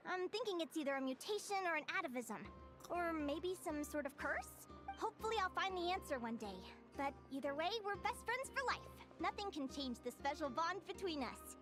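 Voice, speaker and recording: Bright young creature expert. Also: female voice, very high-pitched, moderate pace, music in the background. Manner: lively, storytelling, friendly explaining. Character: cheerful young woman creature researcher. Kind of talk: video game voice acting.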